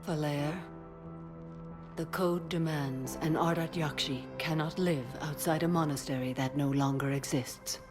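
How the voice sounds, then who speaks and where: Low-voiced, solemn warrior mother. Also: fairly even intonation, over music. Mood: grave. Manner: formal, measured, grave. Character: solemn, dutiful warrior mother bound by strict code. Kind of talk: dramatic video game acting.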